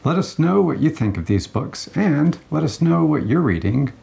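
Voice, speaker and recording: A man co-hosting a casual book review, speaking in a measured, matter-of-fact way. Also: a little high, big pitch swings, creaky, warm tone. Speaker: co-host of a book review show.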